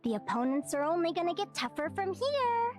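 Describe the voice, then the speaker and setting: A woman's voice: very high voice, sing-song, a little fast, a little mellow. A woman voicing an eager, curious young fae girl adventurer in a video game, with music behind her. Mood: upbeat, curious. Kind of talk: video game character dialogue.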